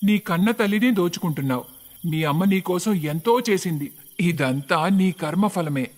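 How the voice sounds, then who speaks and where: A man's voice: high voice, moderate pace. A man voicing a solemn magical child sent by God as a helper in a cartoon moral story. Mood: stern, scolding. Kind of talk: dramatic cartoon story dialogue. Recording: long hall reverb.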